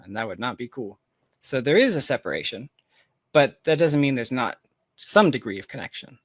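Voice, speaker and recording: A man's voice: medium pitch, no echo. A man in a casual, reflective conversation, thinking aloud in an informal, philosophical way. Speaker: podcast guest, tech founder.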